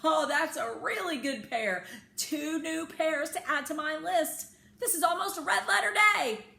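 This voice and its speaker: A woman voicing an excitable young storybook girl who gushes and exclaims about words. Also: sits high, unhurried, creaky, voice pushed up, exaggerated voice. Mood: thrilled. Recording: echoey room with faint background noise.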